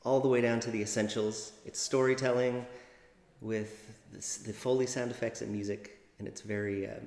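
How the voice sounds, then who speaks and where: A man's voice: medium pitch, little pitch movement, normal speed, darkish tone. A man, a composer, speaking in a thoughtful, hesitant way in a documentary interview. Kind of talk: documentary interview, reflective talk. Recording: echoey reverberant room.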